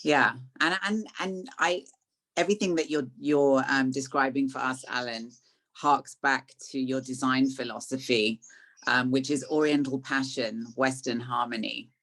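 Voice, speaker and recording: Interviewer, medium pitch, conversational, effusive, wordy hesitant questions. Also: steady tone, a little slow, fairly bright tone.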